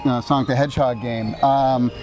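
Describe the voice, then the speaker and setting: A middle-aged man giving an energetic, jokey interview at a rehearsal, acting out quotes along the way. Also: mid-range voice, moderate pace, faint music behind. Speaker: show creator. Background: orchestra rehearsal.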